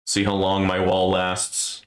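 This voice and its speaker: A man giving casual gameplay commentary on a stream, thinking aloud in a low-key, musing way with a gravelly voice. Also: completely flat delivery, slow pace. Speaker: game streamer.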